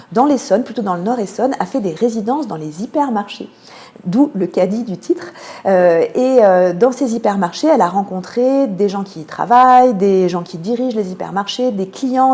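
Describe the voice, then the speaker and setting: Soft-toned French woman explaining in an interview. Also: medium pitch. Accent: French from France. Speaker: theatre programme manager, interview guest. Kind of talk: explanatory radio interview answers.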